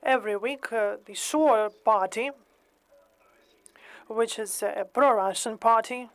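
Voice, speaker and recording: Slow, careful woman interpreting. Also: a little high, no echo. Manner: careful, hesitant, many pauses and "uh" fillers. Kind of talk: slow consecutive interpreting in English.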